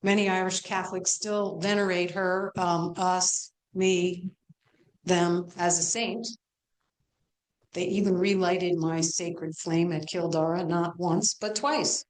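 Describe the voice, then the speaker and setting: A woman's voice: lowish voice, flat delivery, slow pace, rough recording. A woman playing a self-assured pagan goddess in a stage play, telling her myths in a matter-of-fact way. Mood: wry, slighted. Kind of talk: amateur stage play performance.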